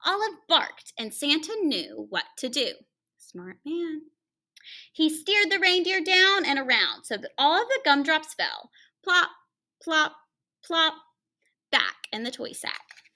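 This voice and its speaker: A woman reads a children's story aloud in a high, bright voice with very lively pitch. She plays up the drama with pauses and drops into hushed, chatty asides to the young listeners. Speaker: teacher reading a picture book aloud to children.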